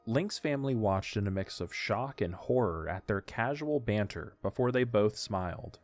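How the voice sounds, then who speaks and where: Low-voiced man narrating a story. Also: lively intonation, normal speed, soft background music. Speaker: audiodrama narrator.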